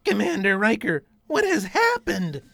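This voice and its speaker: A man playing a literal-minded, dutiful android starship officer in a comedic radio parody, with a formal, deadpan delivery. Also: high-pitched, a little slow, creaky, no echo, exaggerated voice. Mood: puzzled.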